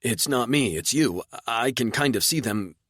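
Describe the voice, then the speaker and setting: A man voicing an excitable, jumpy male companion. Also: medium pitch, normal speed, no room sound, clean studio sound, pitched above the performer's own voice. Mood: startled.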